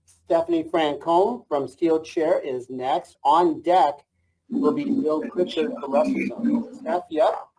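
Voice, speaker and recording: Higher-pitched male call moderator. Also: slow, a crowd in the background, rough recording. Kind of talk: brief announcements of next questioners.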